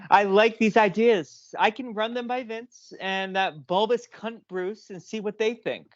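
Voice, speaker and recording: A woman's voice: mid-range voice, a little slow, no room sound, close to an everyday voice. A woman playing a chatty, bitter married video store owner in a comic audio play, rambling and swearing freely. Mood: friendly, flirty. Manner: rambling, repeats herself, profane. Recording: dull lo-fi audio.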